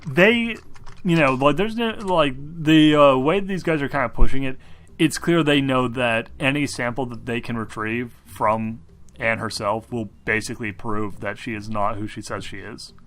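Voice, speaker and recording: A man running a tabletop game, talking casually and haltingly with some swearing as he improvises the narration. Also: mid-range voice, a bit bright. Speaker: game master. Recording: music behind the voice. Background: background music.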